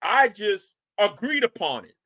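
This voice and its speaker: Animated man scolding on a live stream. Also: high voice, measured pace. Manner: animated, repetitive, mocking, scolding. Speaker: live stream host reacting to a video. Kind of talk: reaction commentary to a live chat.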